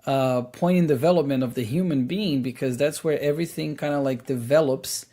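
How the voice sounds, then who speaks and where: A man's voice: medium pitch, fairly quick, dark tone. A man in his thirties co-hosting a spiritual talk, explaining earnestly with many "you know"s and "like"s. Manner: earnest, explanatory, filler-heavy. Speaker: podcast co-host. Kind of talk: casual spiritual discussion.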